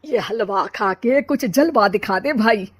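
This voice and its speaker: A woman's voice: mid-range voice, animated, takes it slowly, breathy edge. A woman playing a bossy, plotting older mother-in-law in a comic family story, dramatic and complaining. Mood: conspiring.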